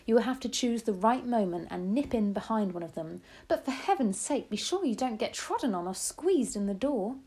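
A woman's voice: on the high side, lively intonation, normal speed, few pauses, warm tone, breathy edge, voice pushed up. A woman voicing an elderly grandmother who is loving, resourceful and emphatic, in a storybook reading. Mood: urgent, caring.

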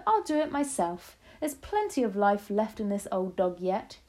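A woman's voice: on the high side, lively intonation, moderate pace, a little mellow, voice pushed up, natural voice. Woman putting on a feisty, emphatic old grandmother. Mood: determined. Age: older. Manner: bold, emphatic, impatient declarations. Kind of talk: storybook read-aloud.

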